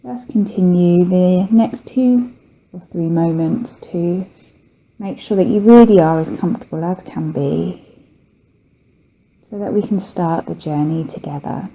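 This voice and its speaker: A woman guiding a relaxation meditation as a yoga nidra teacher, speaking in a soothing, gentle, unhurried way with long pauses. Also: lowish voice, a little hiss or hum, rough recording.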